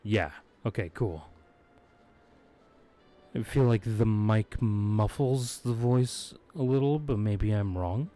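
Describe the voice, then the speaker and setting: A man talks through his game stream in a slow, mellow, slightly hoarse voice, sitting close to his mic. He rambles easily and chuckles between thoughts. Faint game music plays underneath. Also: fairly low pitch. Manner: laid-back, rambling, chuckles often. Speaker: streamer playing a video game. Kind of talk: casual live-stream chat. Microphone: close desk microphone.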